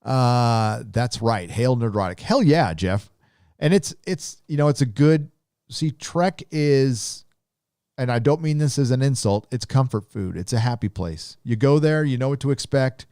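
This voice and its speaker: A man's voice: mid-range voice, expressive, measured pace, mellow, smooth, dry recording. Laid-back Southern Californian man, dry sound. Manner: rambling, laid-back drawl with many fillers. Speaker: live stream host. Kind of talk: casual live chat reading donations.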